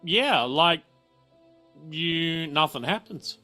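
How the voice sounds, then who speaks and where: A bright, forceful man with a slightly high voice is running a tabletop game. He rambles casually, swears and cuts himself off, with music playing behind him. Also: animated, extremely slow. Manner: rambling, sweary, self-interrupting. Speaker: game master.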